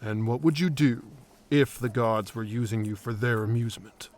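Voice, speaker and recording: A man playing a grumpy, skeptical adventurer in a fantasy audio drama, blunt, gruff and grudging. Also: medium pitch, moderate pace, darkish tone, close to an everyday voice.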